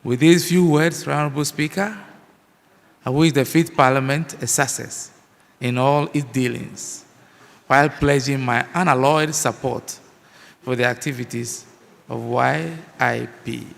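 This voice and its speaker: Ghanaian guy giving a formal speech, gravelly voice, long hall echo. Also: mid-range voice, fairly even intonation. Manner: measured, formal delivery with pauses. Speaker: guest speaker. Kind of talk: formal address. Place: large hall.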